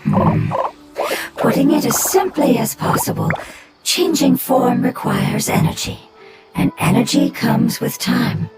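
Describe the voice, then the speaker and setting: An actor voicing an ancient, calm and caring fungus-like nature creature that speaks in a breathy, slow whisper. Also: mid-range voice. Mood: serene. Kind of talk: video game voice acting. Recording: game audio over loud music.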